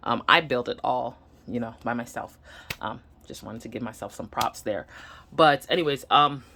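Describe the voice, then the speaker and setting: A woman's voice: extremely low pitch, moderate pace, sharp tone, a little hiss or hum. A woman with a Nigerian accent giving a casual, candid personal update to camera at home, earnest and a bit hesitant. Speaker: vlogger. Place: home room.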